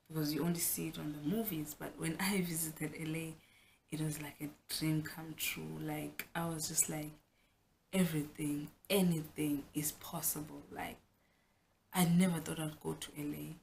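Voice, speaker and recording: A woman's voice: low-pitched, level tone, moderate pace, vocal fry, a little mellow, slight background noise, low-quality recording. A young South African woman whose first language is Xhosa, talking frankly and chattily to the camera in a casual home vlog, often restarting her sentences. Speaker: vlogger.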